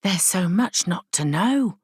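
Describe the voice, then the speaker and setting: Female reader as grumbling, questioning woman in a fantasy novel: blunt, colloquial, raised pitch, dry recording. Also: expressive, takes it slowly, slightly rough, no echo, heavily acted. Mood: hesitant, curious. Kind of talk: audiobook character dialogue.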